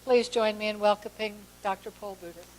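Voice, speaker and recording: A woman hosting an event, reading a speaker's introduction in a formal, measured way. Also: mid-range voice, steady tone, noisy background. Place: conference hall. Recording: long reverb of a hall.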